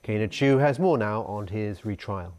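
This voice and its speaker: A man's voice: lowish voice, moderate pace, a little mellow. A man reading scripted news as an anchor.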